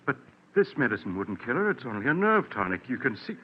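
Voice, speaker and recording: An actor playing a grave, authoritative family doctor in a 1940s radio drama, firm and measured. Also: male voice, mid-range voice, big pitch swings, natural voice. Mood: puzzled, firm. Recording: muffled, hissy 1940s radio recording.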